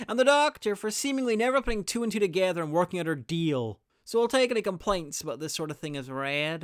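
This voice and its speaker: Male reviewer, higher-pitched and smooth-voiced, presenting a scripted guide with dry wit. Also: fairly bright tone.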